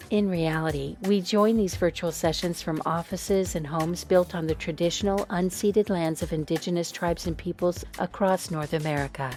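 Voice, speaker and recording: A woman reading a formal land acknowledgement in a measured, scripted manner. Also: on the low side, a little mellow. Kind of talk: scripted narration.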